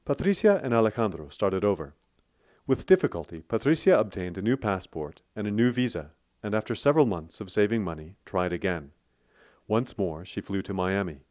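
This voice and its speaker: A man narrating a scripted radio documentary in a steady, clear storytelling manner. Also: lowish voice, fairly quick.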